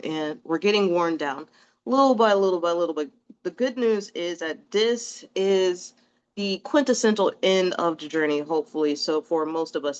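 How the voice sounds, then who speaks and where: A woman's voice: lowish voice, clear, resonant tone, muffled recording. A woman doing a casual, rambling tarot reading in a chatty, reassuring way, a little sniffly from allergies. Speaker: tarot reader.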